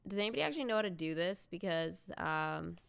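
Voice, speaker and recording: A woman's voice: lowish voice, animated, fast, vocal fry, clean tone. A woman streamer chatting casually and thinking aloud while she plays a game live.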